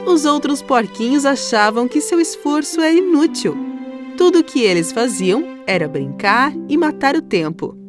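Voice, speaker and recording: A woman's voice: high voice, sing-song, normal speed. A woman with a Brazilian accent narrating a scripted children's story. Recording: music under the voice.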